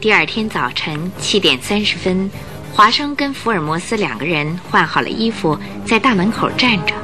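A woman narrating a Mandarin radio drama as an expressive, scripted storyteller. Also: mid-range voice, moderate pace. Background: music.

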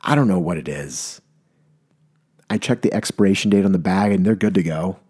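Young man, mellow low voice, rambling, mock-serious comic monologue. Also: normal speed, slightly rough. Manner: rambling, mock-serious storytelling with self-corrections. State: maybe congested. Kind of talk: comedic monologue.

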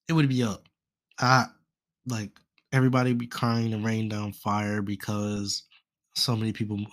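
A young man hosting a solo podcast in a casual, rambling way, his voice congested from a stuffy nose. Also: on the low side. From United States. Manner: rambling, many fillers like "and stuff". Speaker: podcast host.

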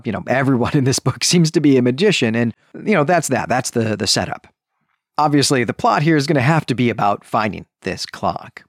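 Animated man recapping a book, dry sound. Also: medium pitch, dry recording. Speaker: podcast host. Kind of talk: conversational book recap commentary.

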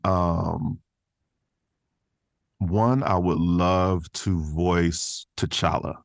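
A man's voice: low voice, steady tone, very slow, vocal fry, no room sound, clean studio sound. A man speaking informally as a guest voice actor in a casual interview, chuckling between phrases.

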